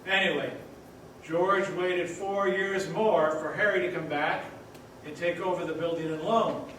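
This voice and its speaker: A man's voice: a little high, fairly even intonation, a little slow, fairly bright tone. An actor playing a patient male storyteller, narrating in an old-time radio play. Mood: explaining. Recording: echoey theater, distant mic, faint noise.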